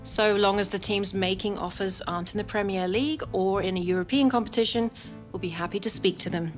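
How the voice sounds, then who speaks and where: A woman voices a club official in a game cutscene, with a mid-range pitch. She is firm and businesslike, and refuses to budge. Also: a little fast, faint music behind, natural voice.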